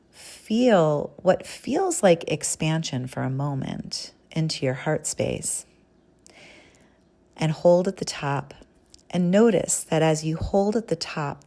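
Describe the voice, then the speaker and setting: A woman leading a guided breathing visualization in a gentle, unhurried, instructional manner with long pauses. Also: sits low. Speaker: guided meditation leader.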